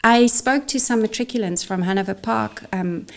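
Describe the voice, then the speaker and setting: A woman's voice: on the high side, moderate pace, vocal fry. A woman from South Africa whose first language is Afrikaans, speaking as an interview guest in an outspoken, emphatic way with frequent restarts. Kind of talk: interview conversation.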